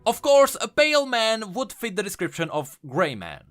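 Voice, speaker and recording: A man's voice: high voice, big pitch swings, normal speed, a bit bright. A man giving enthusiastic, emphatic scripted commentary as the host of a video game lore channel. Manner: emphatic, explanatory. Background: music.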